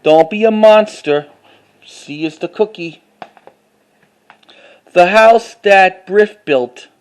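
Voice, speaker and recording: High-pitched man casually showing his collection. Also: clear, resonant tone. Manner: halting, repeats and restarts phrases, reads titles aloud. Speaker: collector showing finds to camera. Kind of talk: casual unscripted show-and-tell narration.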